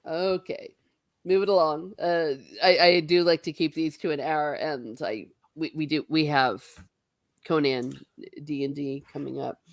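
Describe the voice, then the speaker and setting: A woman hosting a casual livestream from home, talking in a lower register with a dry, close sound. She chats freely, laughs easily and reads viewer comments aloud as she goes. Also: fairly even intonation, measured pace, dry recording. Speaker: stream host. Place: her home.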